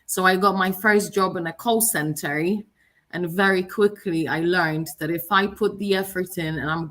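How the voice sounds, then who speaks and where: A woman from Poland, a native Polish speaker, telling her story as a panelist on a video call in a warm, animated way. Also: fairly low pitch.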